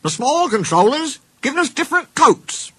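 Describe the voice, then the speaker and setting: A man's voice: very high voice, very animated, normal speed, a bit bright, pitched above the performer's own voice, heavily acted. A man voicing a small, cheeky green steam engine in a children's storybook, friendly and smug with a teasing manner.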